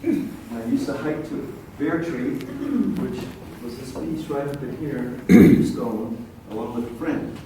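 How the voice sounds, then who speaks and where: An older man who is a longtime local resident, speaking softly and haltingly at a community meeting. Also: mid-range voice, lively intonation. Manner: soft-spoken, rambling with pauses. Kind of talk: public comment at a community meeting. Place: large echoey meeting room. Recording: echoey room, distant mic, faint hiss.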